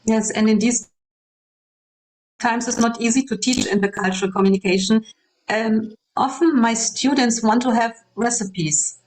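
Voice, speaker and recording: A woman from Germany speaking English with a German accent, a lecturer explaining ideas in a discussion, pausing often with ums and restarts. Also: fairly high pitch, poor audio. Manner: hesitant, many ums and restarts. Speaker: guest lecturer. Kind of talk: panel discussion.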